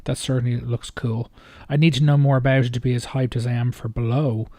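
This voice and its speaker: Mellow man, hesitant and rambling. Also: medium pitch, little pitch movement, dry recording, studio quality. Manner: hesitant, rambling, halting phrasing. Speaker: video host reacting to game trailers. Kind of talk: casual off-the-cuff commentary.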